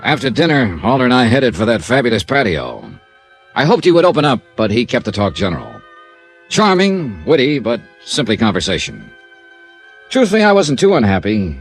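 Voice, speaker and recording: Wry hardboiled private investigator, lowish voice, old radio detective drama, music around. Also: male voice. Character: wry hardboiled male insurance investigator narrating his case. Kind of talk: 1950s radio detective drama, first-person narration.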